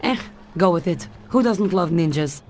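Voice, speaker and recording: A woman's voice: lowish voice, lively intonation, normal speed, darkish tone, slight background noise, lightly acted. A woman playing a shrewd Soviet spy in a video game, speaking with a Russian accent in a guarded, wry way. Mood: amused, warmer. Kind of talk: video game cutscene voice acting.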